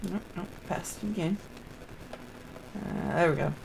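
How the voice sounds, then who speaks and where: A woman's voice: lowish voice, measured pace, vocal fry, noisy background. A woman casually commenting on her gameplay as a streamer, muttering to herself as she plays. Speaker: game streamer. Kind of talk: casual live gameplay commentary with read-aloud dialogue.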